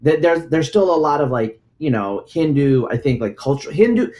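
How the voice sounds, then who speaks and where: A man's voice: on the high side, animated, normal speed, a little mellow. A man in casual discussion as host, talking in a rambling way with frequent fillers and restarts. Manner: rambling, many likes and restarts. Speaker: podcast host.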